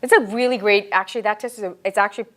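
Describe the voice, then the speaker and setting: A woman's voice: medium pitch, steady tone, very fast, a bit bright. A woman coach chatting as a podcast guest, talking in an earnest, encouraging and emphatic way. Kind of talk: casual interview chat.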